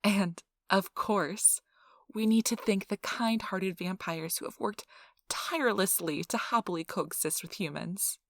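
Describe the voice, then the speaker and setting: A woman's voice: mid-range voice, measured pace, dry recording, studio quality, lightly acted. A woman playing a cheerful, earnest donor recruiter in an ASMR role-play, with a polite, reassuring customer-service manner. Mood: upbeat, reassuring. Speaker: donor acquisition interviewer.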